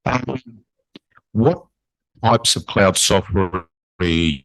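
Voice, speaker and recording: A man in a conference audience asking a speaker technical questions, halting and restarting as he goes. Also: low-pitched, fairly bright tone, dry recording, rough recording. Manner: halting, restarts with filler words. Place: conference room.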